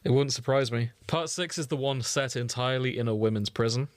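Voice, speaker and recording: A man's voice: mid-range voice, a little fast, no room sound. A man co-hosting a podcast in casual comedic chat, with a wry, jokey manner and some swearing. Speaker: podcast co-host.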